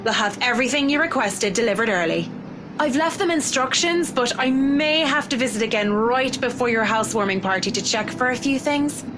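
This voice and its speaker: A woman's voice: on the high side, lively intonation, brisk, close to an everyday voice. A woman voicing a polite, businesslike interior decorator in a visual novel, formal and deferential. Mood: polite, professional. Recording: noisy ambience behind the voice.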